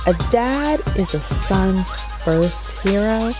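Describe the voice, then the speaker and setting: A woman's voice: medium pitch, slow pace, loud music behind the voice. A woman hosting a podcast, reading her intro in a sassy, playful manner. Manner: sassy, playful, lively delivery. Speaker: podcast host. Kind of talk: upbeat scripted podcast intro.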